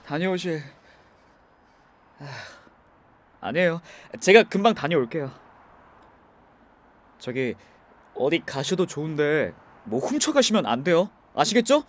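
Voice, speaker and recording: A young man voicing a kind, soft-spoken driver in an ASMR audio drama, talking gently and comfortingly. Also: on the high side, sing-song, measured pace, long pauses, a little hiss or hum. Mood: gentle, comforting.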